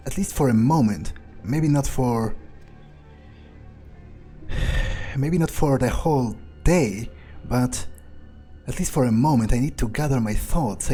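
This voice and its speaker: A man voicing a cynical, vengeful vampire in a game roleplay, thinking aloud in a deliberate, halting murmur. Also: mid-range voice, mellow. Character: brooding, cynical, vengeful male vampire, player's character.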